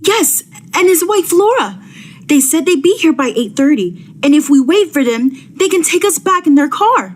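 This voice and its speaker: A woman playing a high-strung, frightened widow in a vintage radio thriller, with an anxious, pleading, melodramatic delivery. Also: sing-song, moderate pace. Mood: uneasy.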